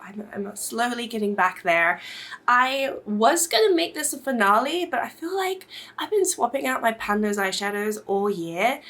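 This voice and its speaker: A woman's voice: medium pitch, a bit bright. A young adult woman with a stuffy nose from a cold, chatting casually to camera about her makeup in a rambling, self-deprecating way. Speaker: beauty vlogger.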